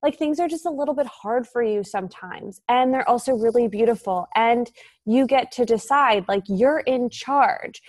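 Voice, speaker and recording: A woman's voice: on the high side, normal speed, no echo. A woman in her thirties, an author and interview guest, talking in a casual, reflective way with many fillers. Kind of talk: casual podcast conversation.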